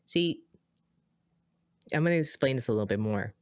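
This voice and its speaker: A woman with an American accent co-hosting a podcast, telling a story in a chatty, animated way with lots of asides. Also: moderate pace, no echo, clean studio sound. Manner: animated, acts out quoted dialogue with big pitch jumps; chatty, many fillers and asides. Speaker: podcast co-host. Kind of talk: casual conversational storytelling.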